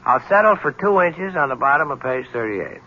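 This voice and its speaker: An actor playing a cynical, offhand newspaper reporter, curt and wisecracking, in a 1970s radio drama. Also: male voice, fairly high pitch, animated, a little fast, sharp tone. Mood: wry. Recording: dull, noisy 1970s radio recording.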